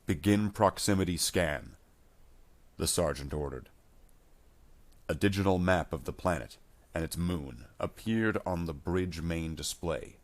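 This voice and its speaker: A man reading scripted dramatic narration of a war story in a measured storytelling delivery, without putting on character voices. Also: low-pitched, expressive.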